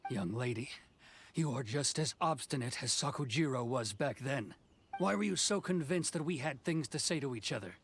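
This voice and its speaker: A grave, duty-bound officer in a game cutscene, his mid-pitched voice firm and controlled. He speaks with measured authority and a weary, reflective edge. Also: expressive, slight background noise. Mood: stern. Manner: stern, commanding, later quietly reflective. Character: stern male commission officer, duty-torn. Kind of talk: video game voice acting.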